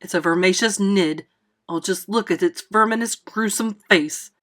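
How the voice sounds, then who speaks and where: A woman voicing a gruff, suspicious male chief of police in a storybook, with an ominous manner. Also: medium pitch, normal speed, a bit bright, lightly acted. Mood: grim, alarmed.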